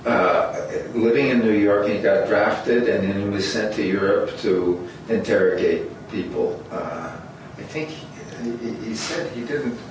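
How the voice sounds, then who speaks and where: A man's voice: lowish voice, measured pace, few pauses. A man interviewing an older scholar, asking questions casually with restarts. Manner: conversational, rambling questions with restarts. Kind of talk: oral history interview. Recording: roomy, distant, faint hiss.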